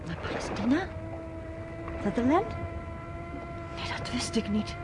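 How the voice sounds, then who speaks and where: A woman's voice: a little high, big pitch swings, a little slow, music in the background. An actress playing a polite, thoughtful and hesitant woman in a radio drama. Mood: reserved, thoughtful. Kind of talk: Dutch radio drama acting.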